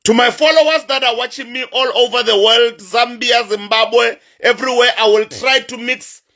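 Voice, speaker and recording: Emphatic South African man preaching slowly. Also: extremely high pitch, sharp tone. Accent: South African, Sesotho-influenced. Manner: slow, emphatic, repetitive, preacher-like. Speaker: traditional healer addressing online followers. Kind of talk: livestream address to viewers.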